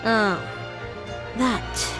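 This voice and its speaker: A woman's voice: a little high, drawn-out words. A woman playing a self-assured, blunt young fashion designer in a video game, speaking in a cool, dry, businesslike manner. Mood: wry, explanatory. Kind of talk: video game dialogue acting. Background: background music.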